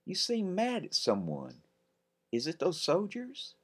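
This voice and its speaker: A man with a deep voice narrating, here voicing a chatty, cheeky ten-year-old country boy in a raised pitch. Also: wildly swooping pitch, slow, fairly bright tone, breathy edge, heavily acted. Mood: earnest.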